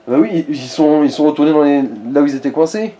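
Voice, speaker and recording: A man's voice: fairly high pitch, animated, fast talker, dark tone. A man giving casual gaming commentary, explaining things with offhand crude wit, in an echoing room away from the mic. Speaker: let's play co-host. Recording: roomy echo, distant from the mic.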